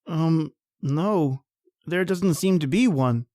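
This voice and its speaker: An actor playing an anxious, obedient manservant in an old-time radio horror drama. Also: male voice, on the high side, slow, a little mellow. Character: nervous, deferential manservant named John.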